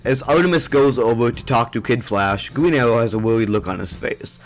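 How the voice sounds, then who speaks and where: A man's voice: medium pitch, brisk, faint music behind. Man narrating an amateur audio drama.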